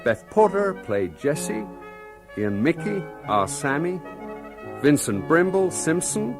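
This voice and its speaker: Male radio announcer over loud music. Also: on the high side, very animated, slow. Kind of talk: scripted radio closing credits.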